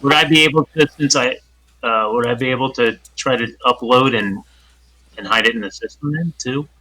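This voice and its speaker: A man's voice: medium pitch, normal speed, bright, forceful tone, dry recording, rough recording. A man playing a tabletop role-playing game, talking hesitantly and putting himself down. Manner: hesitant, self-deprecating. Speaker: player. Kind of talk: casual game chat.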